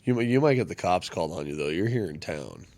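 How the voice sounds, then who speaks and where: A man's voice: sits low, expressive, fast talker, darkish tone. A man co-hosting a podcast, playful and quippy in casual chat. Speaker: podcast co-host.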